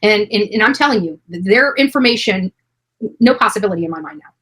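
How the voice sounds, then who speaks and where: Fast-talking, bright-voiced woman in her forties. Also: medium pitch, lively intonation, slightly rough. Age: early 40s. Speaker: true crime YouTuber. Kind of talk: casual conversational discussion.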